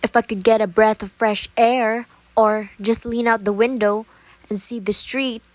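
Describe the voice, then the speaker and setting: A woman's voice: on the high side, steady tone, unhurried, a little hiss or hum, natural voice. A woman playing a nervous, demanding, self-pitying bedridden wife in an amateur radio play. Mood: impatient, irritated. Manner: fretful, imperious, complaining.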